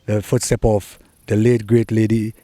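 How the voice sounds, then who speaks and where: A man's voice: on the low side, little pitch movement, moderate pace, dark tone, dry recording. A middle-aged man with a Trinidadian accent, answering interview questions in an earnest, encouraging way. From Trinidad and Tobago. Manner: earnest, encouraging, repeats key points. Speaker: soca artist guest.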